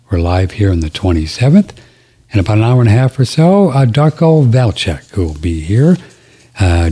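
Low husky male radio host. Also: warm tone. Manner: rambling, many fillers. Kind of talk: casual talk radio.

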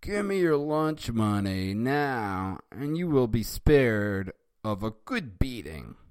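A man's voice: mid-range voice, very animated, takes it slowly, clean tone, no echo, clean studio sound, pitched above the performer's own voice. A man voicing a boy bully who threatens a classmate for lunch money. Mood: threatening.

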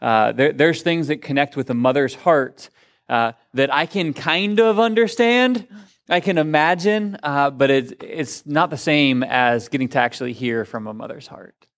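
A man, the pastor, introducing his wife to the congregation in an earnest, halting way, full of fillers and restarts. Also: a little high, fairly bright tone. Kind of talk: sermon introduction. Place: church sanctuary in front of a congregation.

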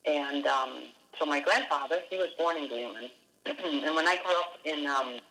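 A man's voice: fairly high pitch, moderate pace, gravelly, bright, forceful tone, poor audio. A man from Denmark, a dog musher, answering interview questions in a hesitant way with many fillers and restarts. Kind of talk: casual interview.

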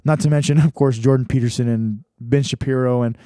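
A man's voice: mid-range voice, steady tone, a little fast, dark tone, no echo, studio quality. A young man hosting a podcast, thinking aloud in a casual reflective monologue with many restarts and fillers. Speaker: podcast host.

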